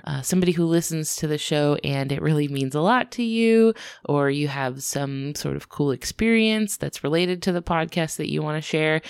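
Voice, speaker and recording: A woman's voice: fairly low pitch, clear, resonant tone, no echo, clean studio sound. A woman co-hosting an animal podcast in casual chat, teasing her co-host playfully while nursing a cold. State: has a cold.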